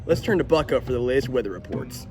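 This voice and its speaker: A young man anchors an amateur news segment with a formal delivery. His voice sits at a middle pitch, and faint outdoor traffic can be heard behind him. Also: expressive, fast.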